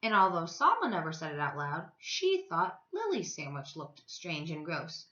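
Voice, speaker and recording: A woman reads a children's storybook aloud like a teacher to her pupils. Her voice is bright and very lively in pitch, with emphatic, stretched words. The recording is a little dull and lo-fi. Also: normal speed.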